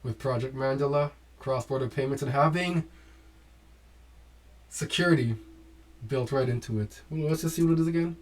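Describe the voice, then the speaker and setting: A man hosting a casual talk, reading documents aloud and breaking off with lively asides to his viewers. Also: mid-range voice, normal speed, pauses often. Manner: animated, urging exclamations. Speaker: host.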